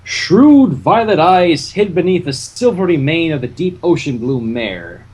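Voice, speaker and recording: A man's voice: a little high, very animated, unhurried, a little mellow, husky. A man co-hosting and narrating a live fan fiction reading, with casual banter between passages. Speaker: narrator and co-host.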